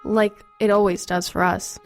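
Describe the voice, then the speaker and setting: A woman's voice: mid-range voice, level tone, normal speed, vocal fry, close to an everyday voice. A woman playing a reflective teenage girl who narrates her own ghost story in a confiding way. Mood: confiding, rueful. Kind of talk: first-person dramatic narration. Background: music under the voice.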